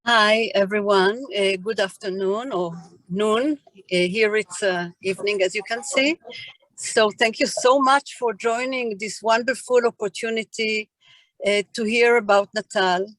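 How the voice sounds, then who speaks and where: A woman with an Israeli accent, a founder giving welcome remarks over a video call, halting and full of fillers. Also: medium pitch, a bit bright, no echo. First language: Hebrew. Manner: halting, with many fillers and repeated words. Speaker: founder and chairperson.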